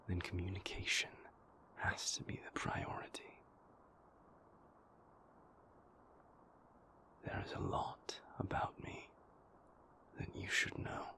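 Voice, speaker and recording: A man playing an earnest, guarded, remorseful vampire boyfriend in an intimate audio roleplay, speaking in a breathy, gravelly, halting and deliberate way. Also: deep voice. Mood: tender, hopeful. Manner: halting, deliberate, measured phrasing with long pauses.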